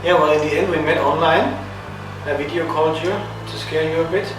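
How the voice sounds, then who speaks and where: A man's voice: on the high side, steady tone, brisk. A man telling how he met his partner in a playful, teasing way. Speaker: interviewee. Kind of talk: casual interview. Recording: very echoey room, distant mic. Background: music.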